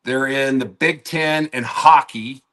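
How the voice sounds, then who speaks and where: Middle-aged male guest from Atlanta, bright tone, casual, self-correcting. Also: mid-range voice, slow pace. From Atlanta, Georgia. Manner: hedging, self-correcting, talks in restarts. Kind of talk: casual sports talk.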